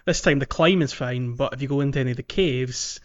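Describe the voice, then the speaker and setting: A man co-hosting a podcast, explaining a video game in a casual, halting way. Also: mid-range voice, lo-fi sound. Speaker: podcast co-host.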